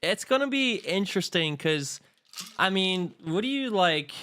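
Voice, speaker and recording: A man's voice: high voice, animated, a little slow, pushed and bright, slight background noise. A man hosting a live trading stream, laid-back and swearing casually as he chats. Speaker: livestream host.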